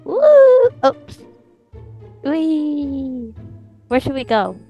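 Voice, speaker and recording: A woman voicing a scheming, excitable older child in an improvised roleplay, talking in exclamations and conspiratorial rambles. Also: high voice, very slow, lo-fi sound, heavily acted. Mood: excited, sneaky. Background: music.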